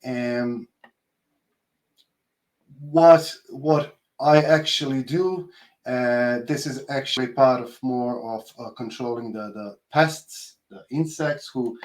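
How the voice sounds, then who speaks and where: A man with a Bosnian accent giving an informal research presentation over a video call, speaking in a hesitant, halting way with many filled pauses. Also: medium pitch, low-quality recording. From Bosnia and Herzegovina. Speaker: postdoctoral researcher giving a talk. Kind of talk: informal online conference presentation.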